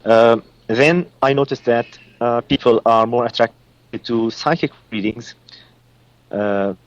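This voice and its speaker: A man from Lebanon with an Arabic-accented voice, giving psychic readings as a guest and pausing often with fillers. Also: mid-range voice, muffled recording. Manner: halting, many uh and um fillers.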